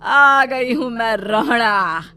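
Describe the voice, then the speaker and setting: A woman voicing a vengeful middle-aged witch who sells her wares showily, with theatrical drawn-out taunts and cackles, in a cartoon story. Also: fairly high pitch, pushed and bright, clean tone, soft background music, voice pushed up, heavily acted. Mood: gloating, menacing. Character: vengeful witch posing as a showy banana seller. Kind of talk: Hindi moral-story cartoon acting.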